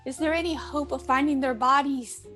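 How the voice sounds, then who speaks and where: A woman playing a gentle, emotional mother in a dramatic table read of a stage play. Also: high-pitched, steady tone, moderate pace, music in the background, natural voice. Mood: disbelieving, grief-stricken. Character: Norma, gentle mother shattered by terrible news.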